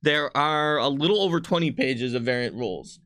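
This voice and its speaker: A man with a mid-pitched voice talks casually as a game designer on a panel. He explains things in rambling detail, and the sound is dry with no room echo. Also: expressive, moderate pace, a bit bright. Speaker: game designer answering viewer questions on a livestream. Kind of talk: casual panel chat about game rules.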